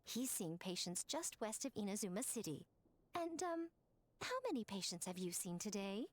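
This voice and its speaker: An actress voicing a sly, playful and teasing elegant priestess in a game scene, over faint music. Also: female voice, medium pitch, very animated, normal speed. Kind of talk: game voice acting.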